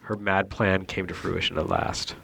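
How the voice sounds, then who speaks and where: A man's voice: low voice, flat delivery, normal speed, creaky, husky. A man giving casual, joking commentary on video game cutscenes in a wry manner, as a critic and co-host. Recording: loud music behind the voice. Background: video game music.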